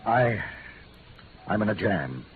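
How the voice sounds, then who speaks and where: An actor playing a rich, evasive, frightened businessman in an old radio drama, speaking in halting, formal, pleading phrases. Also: on the low side, animated. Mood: desperate pleading. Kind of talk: 1950s radio acting. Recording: noisy, phone-like old radio recording.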